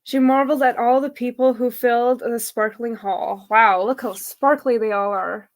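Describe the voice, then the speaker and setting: A woman's voice: on the high side, steady tone, moderate pace. A woman reading a picture book as a guest, friendly and chatty, with casual asides to the audience. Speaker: drag performer, guest storybook reader.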